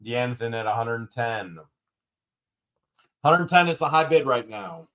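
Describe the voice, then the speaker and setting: A man runs a live online auction from his home setup, his voice sitting at an ordinary middle pitch. He repeats each bid and stretches some phrases out for comic effect. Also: lively intonation, unhurried, a bit bright. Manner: wisecracking, repeats bids, drawls some phrases. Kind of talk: live-stream auction patter.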